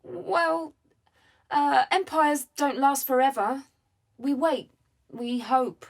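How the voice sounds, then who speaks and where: A woman's voice: high voice, slow pace, gravelly, no room sound, heavily acted. A woman playing a cheeky, reluctant storyteller, narrating a satirical unfairytale with wry, informal asides. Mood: dry, resigned.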